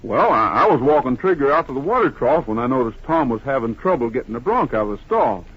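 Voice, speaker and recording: An actor playing a calm, honest cowboy hero in an old radio Western, polite, plain-spoken and earnest. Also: male voice, medium pitch, fast, slightly rough. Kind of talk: 1950s radio Western acting. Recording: muffled old-time radio recording.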